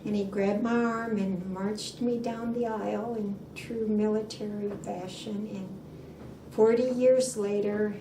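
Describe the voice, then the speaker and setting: An older woman with a light Minnesota accent tells her story in a chatty, easy way, laughing often. She sits back from the microphone in a roomy space, with noise clearly audible behind her. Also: mid-range voice, fairly even intonation, unhurried. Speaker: interviewee. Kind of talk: casual conversational interview. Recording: roomy, distant mic with background noise.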